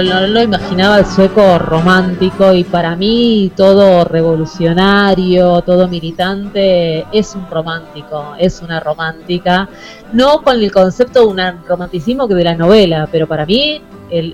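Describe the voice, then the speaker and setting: A woman's voice: on the low side, a little mellow. A woman co-hosting a radio show, talking casually.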